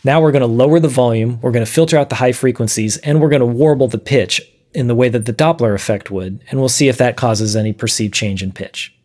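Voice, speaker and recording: Guy in his 30s explaining stuff to camera, methodical, normal mid pitch voice. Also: quick pace, darkish tone. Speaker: presenter of an audio science series. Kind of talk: explanatory video lesson to camera. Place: small dim room, indoors.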